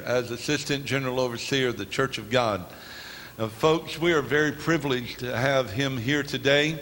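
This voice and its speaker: A man with a bright, forceful voice gives a ceremonial introduction in a church. He speaks slowly and emphatically, phrase by phrase, as host to the congregation. The hall adds long reverb and a little background noise. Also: mid-range voice.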